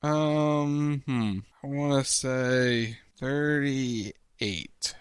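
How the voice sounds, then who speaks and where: A man's voice: mid-range voice, drawn-out words, clean tone, no room sound, clean studio sound. A man co-hosting a radio talk show, trading casual banter and short reactions.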